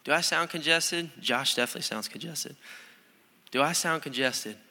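Bright, lively young pastor in a reverberant hall. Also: male voice, on the high side, normal speed. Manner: conversational, energetic, with repeated restarts. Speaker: student pastor. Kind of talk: sermon. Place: church sanctuary.

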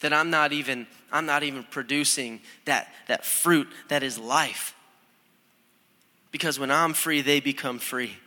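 A young man, a student pastor, preaching a conversational, energetic sermon with frequent restarts, recorded in a church sanctuary. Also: medium pitch, a little slow. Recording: long hall reverb.

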